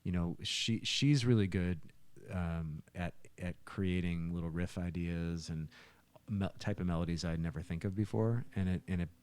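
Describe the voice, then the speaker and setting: Deep-voiced man chatting on a podcast. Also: moderate pace, darkish tone, smooth, no echo. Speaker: podcast host and musician. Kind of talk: casual podcast conversation.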